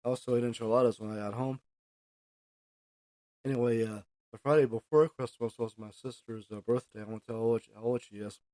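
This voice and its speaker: A middle-aged man with an American accent hosts a casual solo podcast, rambling along in a halting way. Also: medium pitch, steady tone, warm tone, no room sound. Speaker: podcast host.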